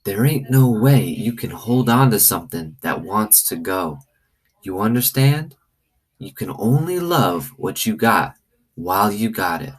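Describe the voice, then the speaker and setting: A man's voice: lowish voice, sing-song, a little slow, husky, heavily acted. A man voicing a firm, serious, kindly old woman in a story read aloud. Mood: gentle, consoling. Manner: hard, serious.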